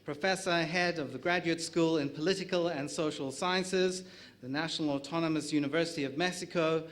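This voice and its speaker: A man's voice: fairly high pitch, fairly even intonation, a little fast, fairly bright tone. A middle-aged man from South Africa with a South African accent, moderating a conference panel, reading introductions with small slips and light jokes.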